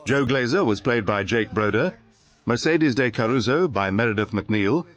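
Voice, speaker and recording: A man's voice: mid-range voice, expressive, moderate pace. A man reading cast credits as a scripted radio announcement.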